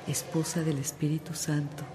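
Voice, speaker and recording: A woman's voice: low-pitched, flat delivery, normal speed, lightly acted. An actress with a Mexican accent playing a loving, devout mother in a radio drama, tender and reverent. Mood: prayerful.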